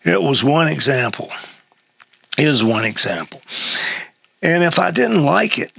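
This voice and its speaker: An older man with an American accent and a gravelly, husky voice, reading a humorous personal essay aloud in a halting way. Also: mid-range voice, pushed and bright, no echo. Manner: halting, with throat clearing and chuckles.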